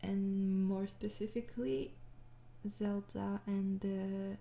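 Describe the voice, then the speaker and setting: Tired, soft-spoken woman with a French accent. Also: medium pitch, monotone, slow pace, echoey room. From France. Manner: hesitant, apologetic, frequent soft laughs, slips into whispers. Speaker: ASMR audio creator answering fan questions.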